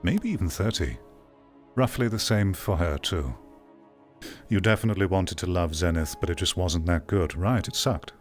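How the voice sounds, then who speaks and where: A man's voice: low voice, moderate pace, faint music behind. A man in his thirties giving blunt, off-the-cuff critical commentary as a streamer, feeling out his phrasing as he goes. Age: 30s. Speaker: streamer reacting to a video.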